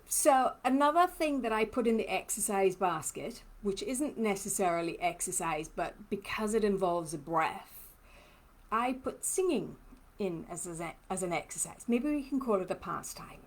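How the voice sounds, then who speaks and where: A woman's voice: mid-range voice, lively intonation, measured pace. Chatty therapist lady from trinidad, mishmash accent nobody can place, live stream vibe. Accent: mixed, hard-to-place blend. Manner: chatty, personal, laughs often. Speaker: lymphoedema therapist. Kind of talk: casual live-stream talk, reading viewer comments.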